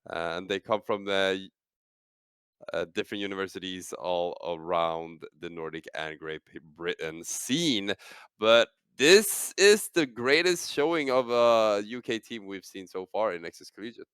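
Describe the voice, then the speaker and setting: Excitable caster, smooth low voice. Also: male voice, a little slow, dry recording, clean studio sound. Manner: energetic, excitable, loud sign-offs. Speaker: esports caster. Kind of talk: casual post-game casting chat.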